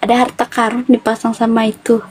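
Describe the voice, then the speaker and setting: A woman's voice: on the high side, little pitch movement, fairly quick, a little mellow, slightly rough. A woman from Toraja hosting a casual live stream, chatty and teasing. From Toraja, South Sulawesi. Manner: teasing, chatty, laughs often.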